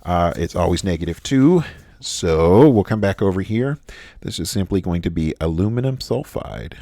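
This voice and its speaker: A chemistry instructor from the United States giving a recorded lecture, halting and full of "uh" fillers and restarts. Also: male voice, low-pitched, expressive, vocal fry, warm tone, dry recording.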